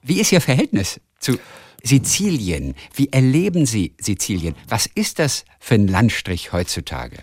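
A man's voice: medium pitch, huge pitch swings, a little slow, a little mellow, breathy edge, no echo. A man interviewing a guest, asking questions in an engaged, chatty way and adding his own views.

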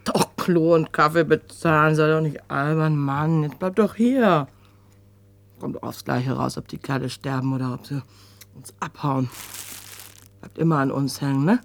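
Brusque, gravelly female kiosk keeper. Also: low voice, measured pace, no echo. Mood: grudging, businesslike. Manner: blunt, colloquial, prying, muttering to herself. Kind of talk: German radio drama acting.

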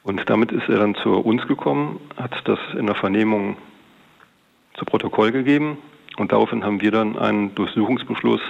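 A man speaking as a police detective, recounting an investigation off the cuff in colloquial, winding sentences with a creaky, gravelly voice. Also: fairly low pitch. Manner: matter-of-fact, colloquial, long winding sentences. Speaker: police detective being interviewed. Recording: dull, band-limited interview recording.

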